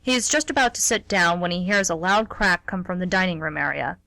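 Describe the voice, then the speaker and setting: A woman's voice: lowish voice, fairly quick, vocal fry, pushed and bright, no echo. A woman reading scripted story narration as part of a radio theatre broadcast. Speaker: narrator and co-host.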